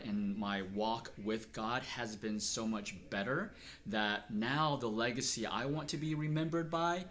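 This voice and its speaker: A middle-aged man from Texas, speaking earnestly and at a measured pace as he is interviewed about his life and work. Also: mid-range voice, fairly bright tone. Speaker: interviewee, acupuncturist. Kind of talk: reflective personal interview.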